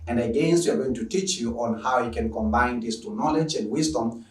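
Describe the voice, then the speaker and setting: A man's voice: mid-range voice, brisk, reverberant, recorded from a distance, poor audio. A Tanzanian-accented man opening an online lecture, enthusiastic and repetitive. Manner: enthusiastic, repetitive, long-winded. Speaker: online teacher. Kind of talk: lecture introduction.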